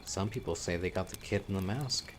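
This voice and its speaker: A man playing a young newcomer telling eerie local legends by a campfire in a horror audio roleplay, conversational and teasing with dramatic pauses. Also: sits low, a little hiss or hum, lightly acted. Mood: casual, intrigued. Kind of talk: casual spoken storytelling in horror audio roleplay.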